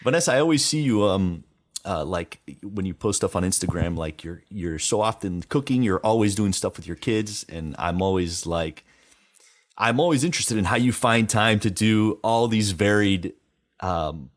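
Man, lowish voice, lively swinging intonation, casual podcast host. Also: normal speed. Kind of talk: casual interview chat.

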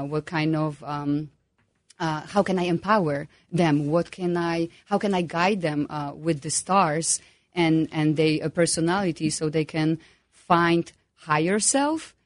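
A woman's voice: low-pitched, dry recording, studio quality. A woman from Poland with a Polish accent, speaking as an astrologer guest with many hesitations and fillers. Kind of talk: interview guest.

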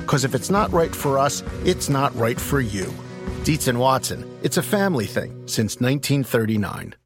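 A man's voice: mid-range voice, sing-song, normal speed, music in the background. A man reading a radio ad in an earnest, homespun way. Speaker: ad announcer. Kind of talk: scripted radio ad.

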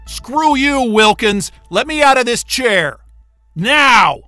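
A high, sharp-voiced scientist in a sci-fi audio drama, trapped and defiant. His delivery swings widely in pitch, with indignant sputtering and swearing. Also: animated, slow pace, no room sound, soft background music, full character voice. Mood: furious. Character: defiant, frantic male astrophysicist trapped at a polar station.

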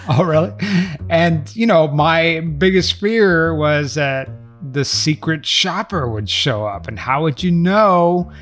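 Playful man with a slightly raised pitch. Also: lively intonation, a bit bright, faint music behind. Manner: playful, teasing, quick to joke. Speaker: podcast host. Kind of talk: casual chat.

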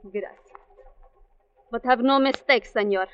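Actress doing a defiant young mexican woman, highish voice, sharp-tongued, muffled old radio show. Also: a little slow, smooth, natural voice. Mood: threatening. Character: fiery, proud young Mexican woman, armed and distrustful. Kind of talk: 1940s radio drama acting. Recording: dull vintage 1940s radio recording.

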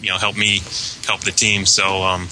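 A man chatting casually on a podcast about online gaming, telling things in a rambling, halting way. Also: sits low, monotone, bright, forceful tone, slight background noise, poor audio. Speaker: podcast panelist.

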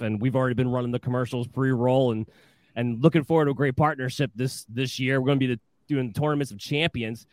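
A man hosting a live fantasy football podcast, energetic and upbeat, with a slightly hoarse voice. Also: mid-range voice, no room sound.

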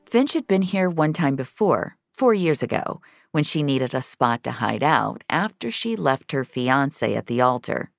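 A woman's voice: extremely low pitch, sing-song, a little slow, creaky, dry recording, studio quality, soft background music. A woman narrating scripted audio fiction as a storyteller.

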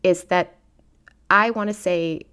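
A woman's voice: on the low side, fairly even intonation, slow pace, a bit bright, clear, resonant tone. A woman hosting an interview, playful and enthusiastic, teasing her guest. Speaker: interviewer, host. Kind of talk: casual interview chat.

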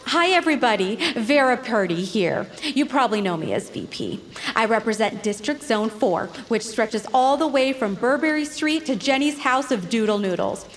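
A woman's voice: a little high, animated, normal speed, a little hiss or hum, low-quality recording. A woman playing a chirpy, self-promoting town councilwoman in an audio drama, with glib, peppy patter. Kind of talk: audio fiction acting.

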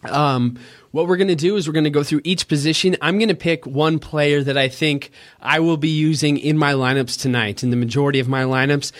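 A young man with a high, squeaky voice co-hosting a casual fantasy sports podcast, excitable and jokey. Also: fairly quick. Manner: excitable, cheers at the game, jokey. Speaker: podcast co-host.

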